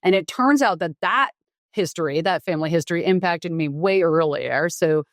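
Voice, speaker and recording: Frank, fairly low-voiced woman doctor. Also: moderate pace, no echo, clean studio sound. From Taiwan. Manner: frank, explanatory, with frequent fillers and restarts. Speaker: doctor and guest. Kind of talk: conversational interview.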